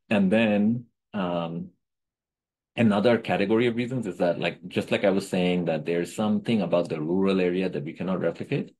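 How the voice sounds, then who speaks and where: A man's voice: low-pitched, darkish tone, slightly rough, rough recording. A middle-aged man from Bangladesh giving an academic talk over a video call, lecturing casually with many "right?" checks and self-corrections. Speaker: economics researcher giving a webinar talk.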